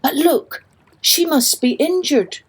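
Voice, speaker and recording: A woman's voice: high voice, animated, slow, voice pushed up. Woman reading as an urgent, emphatic Scottish fisherman. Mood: urgent, concerned. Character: two male Scottish fishermen, earnest and urgent. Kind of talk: children's storybook narration.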